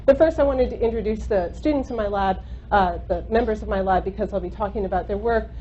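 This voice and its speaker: A woman in her thirties with a loud voice, giving a university seminar lecture into a microphone in a lecture room, chatty with frequent ums and uhs. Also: mid-range voice, quick pace. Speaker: guest speaker, microbiologist. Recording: muffled recording with faint hum.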